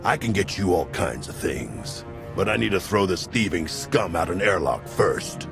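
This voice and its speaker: An actor playing a gruff, menacing ex-soldier arms dealer with a deep gravelly voice in a video game. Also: male voice, animated, moderate pace. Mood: sardonic, threatening. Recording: music behind the voice.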